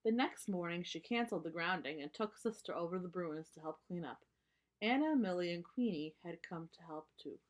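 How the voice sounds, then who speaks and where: A woman reading a story aloud for children, narrating and pausing to explain things simply. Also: on the low side, animated.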